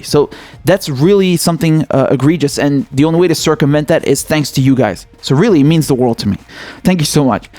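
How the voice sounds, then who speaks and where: A man from Syria hosts his own small channel and speaks straight to his viewers. He sounds earnest and grateful and drops casual slang as he talks. His voice sits a touch high for a man, and the sound is dry and close. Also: darkish tone, dry recording. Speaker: independent political YouTube host. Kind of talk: casual thank-you talk to viewers.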